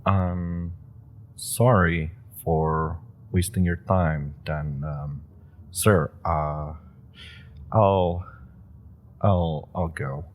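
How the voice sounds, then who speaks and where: Guy doing a super awkward young hero rookie, low voice, slow, rambles w lots of ums and nervous laughs. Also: steady tone, slight background noise. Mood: hurt. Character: young adult male super-powered rookie, eager, awkward. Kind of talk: audio roleplay acting.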